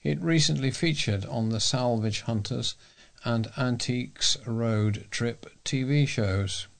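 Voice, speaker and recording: A British man reading local news and letters aloud as a volunteer, in a measured way. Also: medium pitch, vocal fry.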